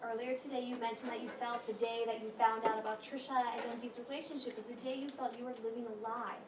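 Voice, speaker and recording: A woman's voice: a little high, little pitch movement, fast, natural voice. A woman in a staged play reading playing a stern, probing psychiatrist, firm and questioning. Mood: brisk, authoritative. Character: stern, probing female psychiatrist on night rounds. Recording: echoey room, distant mic, clear noise.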